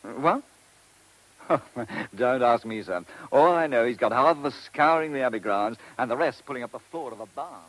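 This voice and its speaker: An actor in a vintage radio play voicing a dutiful, plain-spoken police sergeant, official and matter-of-fact. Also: male voice, medium pitch, lively intonation, moderate pace, slight background noise, natural voice, poor audio. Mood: wry.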